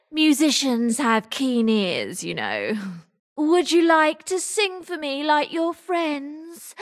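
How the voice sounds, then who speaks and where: Casting: vengeful female game villain, masked duelist, high voice, slow theatrical menace, grieving her sister. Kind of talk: video game villain acting.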